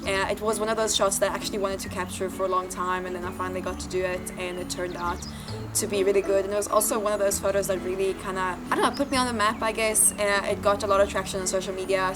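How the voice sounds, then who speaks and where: A young woman works through a casual question-and-answer session, giggling and hesitating as she thinks her answers out loud. Her voice sits at an ordinary middle pitch, and music plays clearly underneath her. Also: level tone, quick pace. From Sweden. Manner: laughing often, unsure and thinking aloud. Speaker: interview guest. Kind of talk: casual Q&A, reading questions off a phone.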